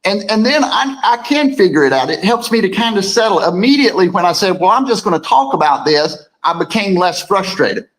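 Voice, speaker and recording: Male co-host, deep voice, rambling conversational delivery, reads comments aloud. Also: animated, fairly quick, hardly any pauses, fairly bright tone, poor audio. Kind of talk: casual morning show chat.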